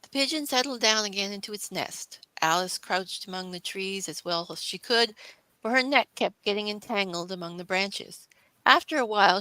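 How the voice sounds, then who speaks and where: A woman's voice: medium pitch, sharp tone, dry recording, low-quality recording. A woman reading scripted storybook narration as the narrator of a classic tale.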